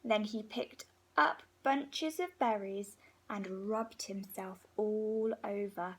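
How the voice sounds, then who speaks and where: A woman reading a picture book aloud to young children in an expressive, unhurried way, pausing between phrases. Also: medium pitch, breathy edge, low-quality recording.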